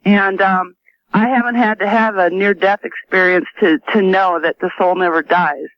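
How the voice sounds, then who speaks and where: Woman radio caller, gushy and a bit halting, dry dull lofi audio. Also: medium pitch, fairly even intonation, no echo. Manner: gushing, hesitant. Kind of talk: casual chat. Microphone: phone call to radio show.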